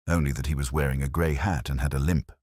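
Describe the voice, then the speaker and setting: A man's voice: extremely low pitch, fairly even intonation, fast, darkish tone, no room sound, clean studio sound. A man playing a dutiful police sergeant with clipped reporting speech in a storybook mystery. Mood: businesslike.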